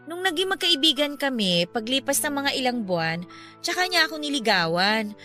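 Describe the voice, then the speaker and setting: Casting: breezy young woman, high voice with lively swoops, casual and candid, radio drama. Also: moderate pace, exaggerated voice. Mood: light, candid. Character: breezy young woman opening up about an old romance. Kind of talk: Filipino radio drama dialogue. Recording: music bed behind the voice.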